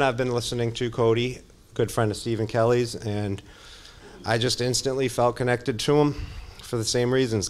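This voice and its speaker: A man speaking earnestly and informally to his church, sharing testimony as he introduces a guest. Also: mid-range voice. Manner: earnest, conversational.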